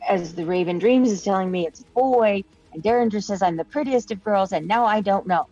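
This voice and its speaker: A woman co-host talks in a playful, rambling way and laughs at her own mix-ups. Her voice has a gravelly fry. The streamed audio sounds dull and muffled. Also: mid-range voice, fairly quick, dark tone, dry recording, faint music behind. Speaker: podcast co-host. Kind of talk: casual chat, reading chat questions.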